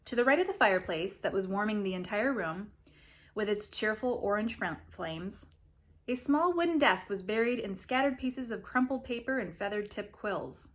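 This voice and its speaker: A woman reading a story aloud as an expressive narrator. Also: medium pitch, normal speed. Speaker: reader of a story.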